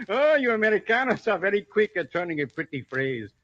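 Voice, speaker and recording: Animated Mexican ranchero, old radio drama. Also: male voice, high-pitched, brisk. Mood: affectionate, delighted. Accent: Mexican Spanish. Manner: exclamatory, chuckling, courtly speech. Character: jovial Mexican ranchero and doting father. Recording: muffled old radio recording.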